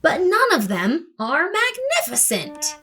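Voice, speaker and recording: A woman storyteller reading a picture book aloud to children in an expressive, dramatic way, acting out the words with her voice. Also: high-pitched, slow pace, soft background music.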